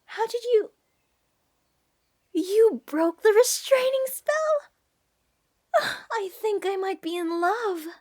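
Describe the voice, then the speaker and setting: A woman's voice: very high-pitched, takes it slowly, pauses often, darkish tone. A woman playing a giddy, unhinged mage who gushes over cursed monsters in a fantasy ASMR roleplay. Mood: thrilled, infatuated. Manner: breathy gasps, gushing, rambling, sweetly menacing.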